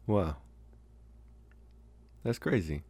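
Casting: man as infatuated college-age vampire for ASMR roleplay, polite, reassuring, very low with heavy fry. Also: warm tone, reverberant, big-room sound, exaggerated voice. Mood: casual, teasing. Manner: slow, reassuring, hesitant with deliberate pauses.

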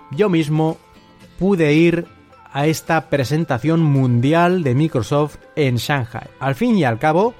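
A male podcast host with an accent from Spain speaks in a somewhat high voice. He narrates alone in a conversational, storytelling style. Faint music plays behind him. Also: a little mellow. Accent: Spanish from Spain. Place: at home.

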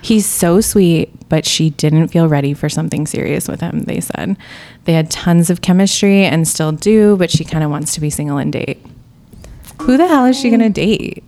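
Woman co-host, low and creaky, steady monotone delivery, casual banter. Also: normal speed. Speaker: podcast co-host. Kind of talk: casual chat.

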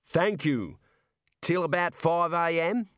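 Guy doing a gloomy sarcastic doomed wizard for an audiobook, hapless, exasperated, bit high, very dry recording. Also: slow pace, fairly bright tone, no room sound.

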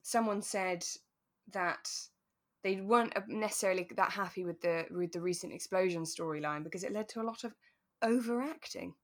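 Woman, slightly low voice, podcast co-host, conversational, reflective, sympathetic. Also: moderate pace. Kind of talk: relaxed chat discussing a radio drama.

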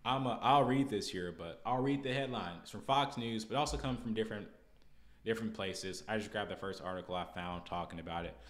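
A man's voice: fairly low pitch, brisk. An American man hosting a podcast, giving casual opinion commentary in a blunt, exasperated, slangy way. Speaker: podcast host.

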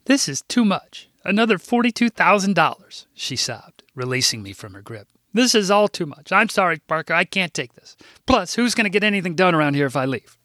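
A man doing a prim older lady assistant, higher voice, fussy, teary, very lively pitch, dry close sound. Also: brisk, hardly any pauses, no room sound, exaggerated voice. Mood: overwhelmed. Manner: fussy, tearful, prim about swearing. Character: older motherly woman office assistant, emotional and warm.

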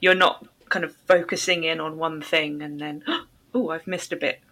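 A woman's voice: low voice, fairly even intonation, moderate pace, bright, forceful tone. A woman hosting a podcast for English learners, asking questions and rephrasing answers in simple, encouraging language. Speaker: podcast host, English teacher. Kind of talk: interviewing, explaining and rephrasing.

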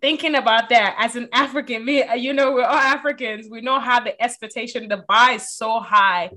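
A woman's voice: fairly high pitch, steady tone, fairly quick, sharp tone. A woman interviewing a guest in casual chat, chiming in encouragingly.

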